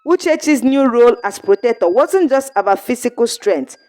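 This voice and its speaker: A woman with a fairly high voice reads a folktale as a narrator. Her pitch rises and falls a great deal from phrase to phrase. The delivery is earnest and measured, like a storybook read aloud. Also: expressive, mellow. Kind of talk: scripted narration of an African folktale.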